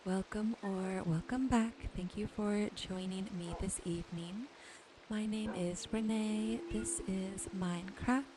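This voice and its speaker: A woman gently narrating her gameplay as a sleep ASMR host, soothing and unhurried. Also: medium pitch, steady tone, a crowd in the background. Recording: music behind the voice. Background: soft music, game rain and animal sounds.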